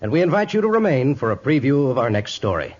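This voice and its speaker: A man's voice: medium pitch, big pitch swings, fast talker, very noisy. A man narrating a 1950s radio drama in a formal, theatrical storytelling style. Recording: dull old radio recording, music behind.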